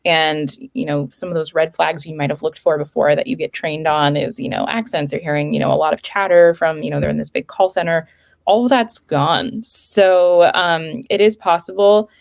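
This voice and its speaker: Woman guest, low voice with vocal fry, explanatory conversational delivery. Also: steady tone, normal speed. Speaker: threat intelligence analyst guest. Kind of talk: casual interview chat.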